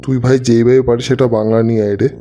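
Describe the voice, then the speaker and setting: A man's voice: medium pitch, level tone, very fast, dark tone, faint music behind, lightly acted. A man voicing a broke, foul-mouthed young slacker in a comedy cartoon, teasing and cajoling in slang. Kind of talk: Bengali comedy cartoon voice acting.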